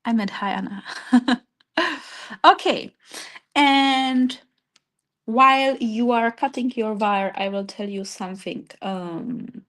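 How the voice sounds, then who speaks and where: A woman's voice: fairly high pitch, slow, pauses often, a bit bright. A woman with a Czech accent leading an informal live craft tutorial step by step, hesitantly searching for her English words. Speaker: craft workshop instructor.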